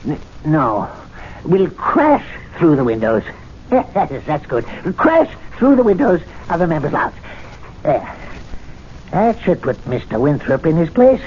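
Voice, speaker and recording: A timid club attendant who mutters and schemes, his voice swinging widely in pitch and sitting a little high. It comes through a dull, hissy old radio broadcast. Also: a little slow. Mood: scheming. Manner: excitable, muttering, plotting aloud. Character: meek club attendant, middle-aged man, scheming. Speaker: lead actor in radio drama. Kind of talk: 1970s radio drama acting.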